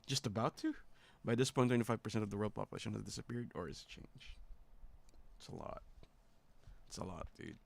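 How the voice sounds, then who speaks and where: Laid back guy streamer, lowish voice, reading stuff out and mumbling little reactions. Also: lively intonation, a little fast, vocal fry, long pauses. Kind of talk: casual reaction commentary, reading on-screen text aloud.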